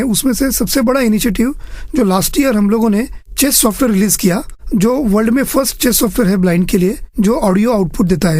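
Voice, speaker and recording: A man's voice: high-pitched, a little mellow, dry recording. A man giving earnest, methodical explanations as an interview guest, mixing in English phrases. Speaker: chess federation official interviewed as guest.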